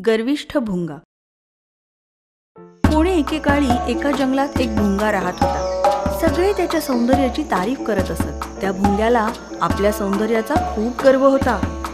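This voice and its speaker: A woman reads a children's story as narrator, her voice somewhat high. Loud music runs behind her words. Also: expressive, normal speed.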